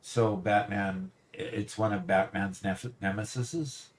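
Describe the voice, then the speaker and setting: A man's voice: fairly low pitch, fairly even intonation, unhurried. A man co-hosting a gaming livestream, chatting casually, playful and easily flustered. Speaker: livestream co-host. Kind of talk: casual chat while gaming.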